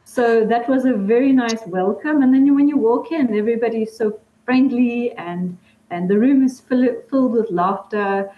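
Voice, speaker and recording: A South African-born woman, an election observer, calmly and clearly describing her day over a remote video call. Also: on the high side, moderate pace, echoey room, phone-quality sound, slight background noise. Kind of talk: remote interview. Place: room in Switzerland.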